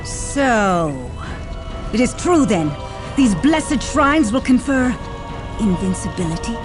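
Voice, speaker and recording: High-voiced fervent warrior sister. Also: female voice, very animated, slow pace. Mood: awed. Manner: reverent, declamatory. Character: fervent, commanding female warrior of a holy order. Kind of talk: theatrical video game voice acting. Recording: music behind the voice. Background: game music.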